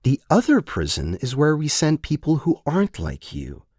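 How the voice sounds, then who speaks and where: A man voicing a cool, amused woman handler, deliberate, condescending and menacing, in an audio story. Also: fairly high pitch, wildly swooping pitch, darkish tone, breathy edge, dry recording, clean studio sound, pitched above the performer's own voice, full character voice. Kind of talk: scripted short-story character voice.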